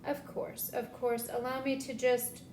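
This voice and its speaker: A woman acting a high-pitched shop clerk in a comic stage play, with formal customer-service manners and a short fuse under them. The room echoes around the voice, with faint noise. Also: flat delivery, a little slow, darkish tone, natural voice. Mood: polite, patient. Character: polite bookstore returns clerk, woman, increasingly exasperated. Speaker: actor in a student play. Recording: roomy echo, low rumble, faint noise.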